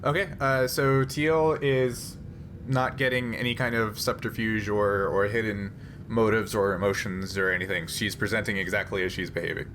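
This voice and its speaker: A man's voice: lowish voice, level tone, moderate pace, a bit bright, slight background noise. A man improvising narration as game master of a tabletop role-playing game.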